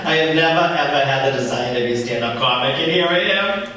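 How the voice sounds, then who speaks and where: A man's voice: fairly high pitch, fast, heavy background noise. A man from the Boston area performing an amateur stand-up routine live on stage. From Boston area, United States. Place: live stage before an audience. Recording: echoey hall, distant mic, audience crowd.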